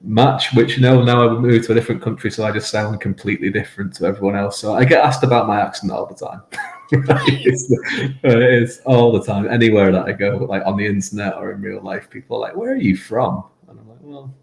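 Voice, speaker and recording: A man's voice: fairly low pitch, brisk, vocal fry, reverberant. A man from Manchester with a Mancunian accent, a guest chatting casually in a rambling, self-deprecating way and laughing often. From England, Manchester. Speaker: game show contestant, guest. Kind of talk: casual interview chat.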